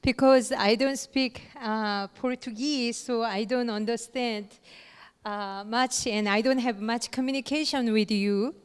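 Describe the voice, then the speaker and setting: A woman giving a lecture in short, paused phrases with fillers, leaving room for an interpreter. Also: on the high side, fairly even intonation, smooth. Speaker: guest lecturer. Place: lecture hall. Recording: long hall reverb.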